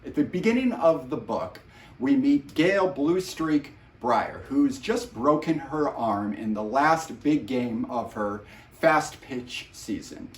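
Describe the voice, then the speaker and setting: A man's voice: mid-range voice, lively intonation, takes it slowly. A man presenting a trivia question casually, with short conversational asides. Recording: roomy echo, distant from mic.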